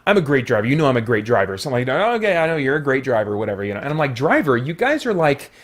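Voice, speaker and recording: A man hosting a casual spoken movie review, rambling along with jokey, animated asides. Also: mid-range voice, very fast. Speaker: film reviewer and host.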